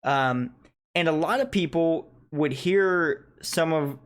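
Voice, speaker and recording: A man, a native English speaker, giving casual, analytical reaction commentary on music and thinking aloud in a halting way. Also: a little high, a bit bright. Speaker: music reaction video host.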